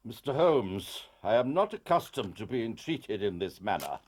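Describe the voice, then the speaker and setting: An actor playing a stern, imperious Prime Minister with an upper-class English accent, weighty and formal, in a period drama. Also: male voice, fairly low pitch, big pitch swings, normal speed, darkish tone. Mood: stern, conceding. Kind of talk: British period detective drama acting.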